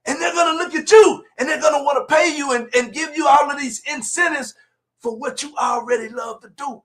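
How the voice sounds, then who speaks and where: High-pitched, forceful motivational preacher man. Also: fairly quick. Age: 40s. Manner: emphatic, repetitive, swinging from low asides to shouted exhortation; colloquial, direct address to listeners. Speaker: motivational speaker. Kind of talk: fiery motivational preaching on a livestream.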